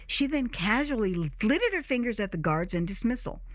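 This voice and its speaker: Theatrical, high-voiced American woman storyteller. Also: no room sound, studio quality. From United States. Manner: theatrical, expressive, with gasps, sighs and dramatic pauses. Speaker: author and narrator of autobiographical audio drama. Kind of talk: dramatic scripted first-person storytelling.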